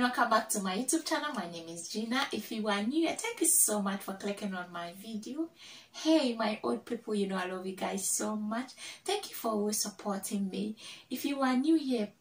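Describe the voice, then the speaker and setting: A young woman from Ghana talking to camera in a vlog, slowly drilling words and repeating each one several times. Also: mid-range voice, far from the mic. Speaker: vlogger. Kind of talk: casual teaching to camera. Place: small room indoors, plain wall. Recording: echoey room, voice off-mic.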